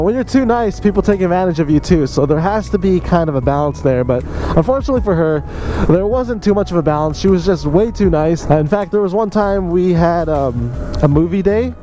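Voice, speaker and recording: A man's voice: fairly high pitch, mellow. A man telling a casual story in an informal, conversational vlog style, full of slangy emphasis. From Canada. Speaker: motorcycle vlogger telling a story. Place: riding a motorcycle outdoors. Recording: vehicle and outdoor noise behind voice. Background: faint vehicle and road noise.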